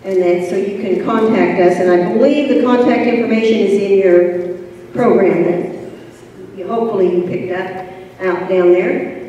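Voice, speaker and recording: A woman's voice: mid-range voice, fairly even intonation, measured pace, warm tone, lo-fi sound, a little hiss or hum. An older woman giving casual announcements at a local event, chatty and trailing off with "and that". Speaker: event organizer making announcements. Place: large school auditorium. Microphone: handheld mic, recorded from a far camera. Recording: echoey hall from far off.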